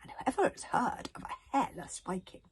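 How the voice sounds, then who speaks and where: A woman's voice: fairly high pitch, sing-song, moderate pace, pitched above the performer's own voice. A woman voicing a thin, sneering male storybook villain, vengeful and nasty, with a hissing, menacing drawl. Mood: savage bitterness.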